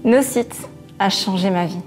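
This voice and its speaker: A woman's voice: medium pitch, measured pace, a little mellow. Woman in her forties over music. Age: 40s. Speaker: self-employed beautician giving a testimonial. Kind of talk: promotional testimonial.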